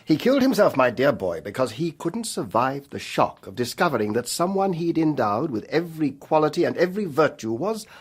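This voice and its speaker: A husky, urbane, probing confidant. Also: male voice, mid-range voice. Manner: measured, probing, dryly ironic. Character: worldly older confidant, shrewd, urbane, philosophical. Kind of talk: radio drama acting.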